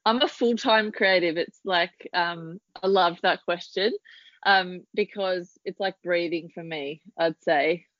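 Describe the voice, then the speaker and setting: Australian woman, 30s, relaxed podcast guest, chatty with ums; dry, dull lo-fi recording. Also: lowish voice, unhurried, no room sound. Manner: chatty, laughs easily, many ums. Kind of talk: casual interview chat.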